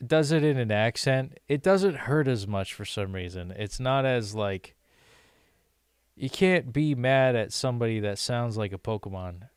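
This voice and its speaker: A man's voice: mid-range voice, expressive, a little slow, clear, resonant tone, no echo. A young American man rambling casually to his viewers, with many restarts and fillers. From United States. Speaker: language-learning vlogger.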